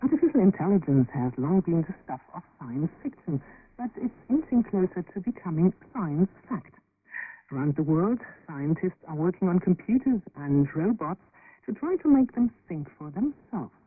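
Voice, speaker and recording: A woman's voice: lowish voice, very animated, normal speed, a little hiss or hum. A woman presenting a scripted introduction on a radio news programme. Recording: muffled, tinny shortwave radio broadcast.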